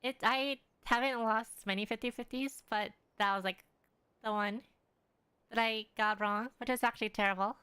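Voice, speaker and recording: A woman's voice: on the high side, fairly even intonation, normal speed, pushed and bright, clean tone, dry recording. A young woman live streaming a game from her room, chatting offhandedly and rambling as she answers viewers. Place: room at home.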